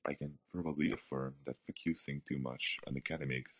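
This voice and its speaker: A young male student with an extremely deep voice takes part in a scripted radio debate. He words his view formally, recorded dry and without room sound. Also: steady tone, brisk, slightly rough, no room sound. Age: young adult.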